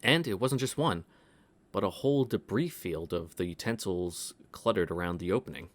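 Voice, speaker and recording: A man's voice: low-pitched, expressive, normal speed, no room sound. A man reading a visual novel aloud as a let's-play host, breaking off into casual asides.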